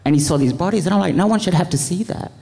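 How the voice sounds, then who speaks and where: A man speaking informally as a panelist, earnest and impassioned, in a halting, rambling way. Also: sits high, creaky, darkish tone. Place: large event venue, live panel. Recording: echoey hall with long reverb.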